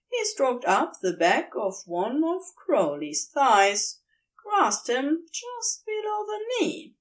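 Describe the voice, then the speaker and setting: Slow, expressive woman story reader. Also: mid-range voice. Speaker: podfic reader. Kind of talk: scripted story narration.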